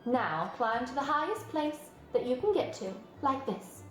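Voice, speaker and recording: A woman's voice: sits high, big pitch swings, a little slow, pitched above the performer's own voice. A woman voicing a clever, patient female spider with a precise, instructive manner in a storybook read-aloud. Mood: coaching. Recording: roomy sound, music behind.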